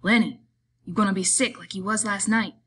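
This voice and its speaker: A woman playing a small, irritable, weary male migrant worker in an audiobook, scolding in rough, rustic speech. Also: mid-range voice, fairly even intonation, a little fast, a bit bright. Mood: alarmed, sharp.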